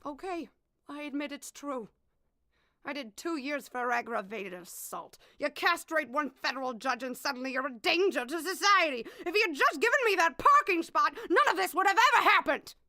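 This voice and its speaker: A woman's voice: high-pitched, lively intonation, moderate pace, sharp tone, dry recording, clean studio sound. A woman playing a melodramatic, sweet-talking wife with a violent streak, theatrical, in a comedic audio drama. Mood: defensive.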